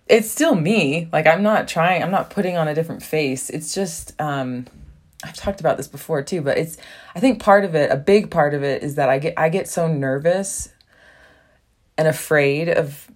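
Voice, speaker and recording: Low-voiced young woman rambling casually. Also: a little mellow. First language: English. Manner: rambling, filler-heavy, laughs often. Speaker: podcast host. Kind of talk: casual solo podcast monologue. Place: small bathroom at home.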